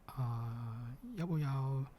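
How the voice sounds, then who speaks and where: A man performs ASMR in a gentle, soft-spoken voice that often slips into a whisper. His pace is slow and his pitch is middling. He sounds patient and a little hesitant, going step by step, over a faint layer of background noise.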